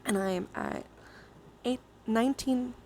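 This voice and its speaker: A woman's voice: on the high side, slow pace, mellow, slight background noise. A woman hosting a livestream, chatting casually with viewers in a playful, giggly way and swearing freely. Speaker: livestream host. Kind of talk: casual livestream chat with viewers during a dice game.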